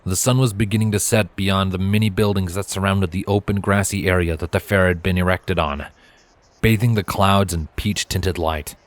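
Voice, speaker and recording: A man's voice: deep voice, fairly even intonation, normal speed, dry recording. A man reading a story aloud as narrator, in scripted storybook narration. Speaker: narrator, fan fiction reader.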